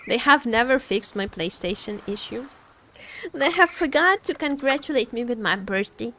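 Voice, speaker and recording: A woman streamer giving casual live commentary on a game, expressive and playfully complaining, often repeating her phrases. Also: on the high side, normal speed, a little hiss or hum, rough recording. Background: game music.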